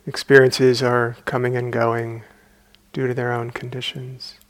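A man guiding a meditation as a teacher, speaking calmly and unhurriedly in short phrases with long pauses. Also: medium pitch, no room sound. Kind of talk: guided meditation.

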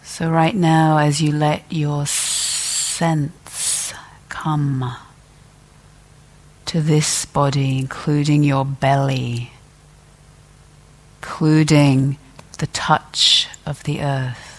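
A woman teacher leading a guided meditation in a gentle, deliberate way, leaving long pauses between phrases. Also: low voice, flat delivery.

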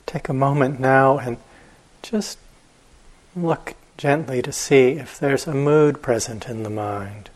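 A man leads a guided meditation as its teacher. His voice is husky and sits at a medium pitch. He speaks very slowly and gently, leaving long, unhurried pauses between short phrases.

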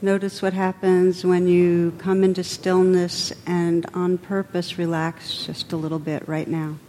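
A woman meditation teacher leading a guided meditation in a gentle, unhurried manner, pausing between phrases. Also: fairly low pitch, level tone, slight background noise.

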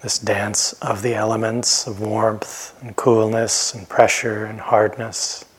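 Slow, husky low-voiced man guiding meditation. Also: level tone, rough recording. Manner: gentle, unhurried, with long pauses between phrases. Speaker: meditation teacher. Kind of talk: guided meditation instruction.

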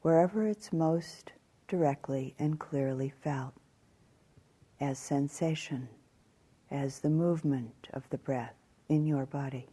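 A woman teaching guided meditation in a calm, measured way, leaving long pauses between short phrases. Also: low-pitched, slightly rough.

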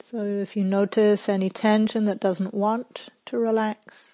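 A woman meditation teacher leading a guided meditation in a calm, gentle, measured manner with long pauses. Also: medium pitch, no echo.

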